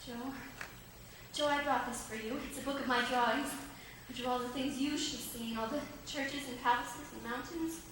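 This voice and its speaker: A teenage girl playing a sweet, romantic, excitable young lady in a high school stage musical. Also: moderate pace, recorded from a distance, slight background noise. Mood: tender. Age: teens. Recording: echoey stage recorded from afar. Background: musical accompaniment.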